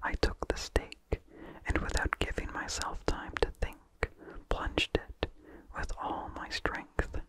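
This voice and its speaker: An ASMR host reading in a breathy, unvoiced whisper, hushed and deliberate, with incidental mouth sounds close to the microphone. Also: low-quality recording. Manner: slow, deliberate, hushed delivery with long pauses. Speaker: ASMR host reading a vintage horror story.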